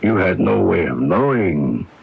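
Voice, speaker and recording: Radio mystery villain: coldly courteous mobster, extremely deep and slow, formal, menacing, gravelly. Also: male voice, very animated, over a noisy crowd, full character voice. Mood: cool, courteous. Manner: measured, formal, deliberate. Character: coldly courteous, menacing mob-connected man. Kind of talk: radio mystery drama acting. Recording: muffled, hissy AM radio recording.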